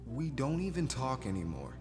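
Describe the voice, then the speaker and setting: A man's voice: mid-range voice, very animated, moderate pace, close to an everyday voice. Sullen, curt man played by an actor, reverberant. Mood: agitated. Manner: curt, dismissive. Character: Ross, sullen, defensive, withdrawn former academic man. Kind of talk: naturalistic stage-play dialogue. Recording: long hall reverb with music behind.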